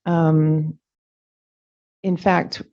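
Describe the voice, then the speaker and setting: A middle-aged woman, a Danish native speaker, giving a reflective, halting farewell speech as chair of a public meeting. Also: deep voice, level tone. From California. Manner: reflective, halting, with frequent ums. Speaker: commission chair. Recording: dull lo-fi meeting audio.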